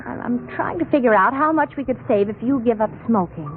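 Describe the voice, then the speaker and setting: An actress playing an earnest, naively practical wife and amateur sleuth in a 1940s radio mystery. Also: female voice, a little high, expressive, fairly quick, close to an everyday voice. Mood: musing, worried. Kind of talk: 1940s radio drama acting. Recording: noisy, narrow-band 1940s radio recording.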